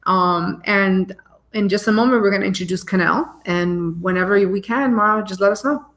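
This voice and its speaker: A woman's voice: medium pitch, moderate pace, fairly bright tone, echoey room. A woman from the Bronx co-hosting a live podcast, chatting in an upbeat way and laughing easily. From the Bronx, New York. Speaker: podcast co-host. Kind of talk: casual live-stream chat.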